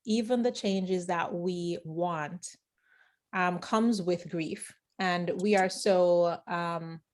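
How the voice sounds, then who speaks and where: A woman hosting an interview, thoughtful and hesitant, often pausing with fillers as she shapes her ideas. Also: lowish voice, darkish tone. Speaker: host. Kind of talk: interview conversation.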